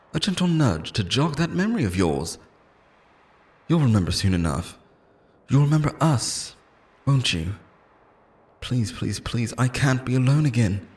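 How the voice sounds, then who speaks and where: A man's voice: medium pitch, a little mellow, close to an everyday voice. A man playing an obsessive, lonely immortal lover in an intimate, theatrical roleplay monologue, pleading and possessive, moving between tenderness and menace. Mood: insistent, coaxing, then desperate pleading.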